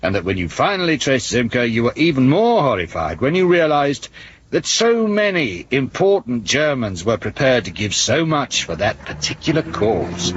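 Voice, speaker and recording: An insistent, accusing police inspector played by a male actor in a 1970s radio drama. His pitch moves freely as he presses his case, on a slightly muffled recording with faint noise. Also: sing-song, moderate pace, close to an everyday voice. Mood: pressing, accusing. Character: dogged, indignant male East German police inspector. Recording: dull, lightly noisy 1970s radio recording.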